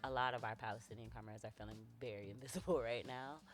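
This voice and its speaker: Woman host, strikingly low register, affirming casual talk, faint music beneath. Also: steady tone, fast. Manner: affirming, conversational. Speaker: podcast host. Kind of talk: casual podcast conversation.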